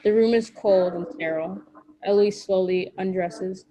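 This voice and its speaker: A woman reading scripted stage directions at a table read in an even, matter-of-fact way. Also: mid-range voice, moderate pace, a little hiss or hum, poor audio.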